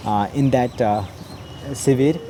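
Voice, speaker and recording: A Nepali man talks in English about his meditation retreat, pausing often with fillers and restarting phrases. His voice sits at an ordinary middle pitch. Steady background noise and passing traffic run under the recording. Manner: halting, many fillers and restarts. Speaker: meditation practitioner sharing experience. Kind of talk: unscripted personal testimony.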